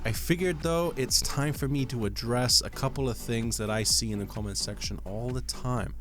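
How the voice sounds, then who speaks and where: A man from London with a mixed English, Irish and American accent, presenting scripted vlog commentary with rhetorical questions and deliberate pauses. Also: mid-range voice, music in the background. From London, England. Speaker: host of a crypto video channel.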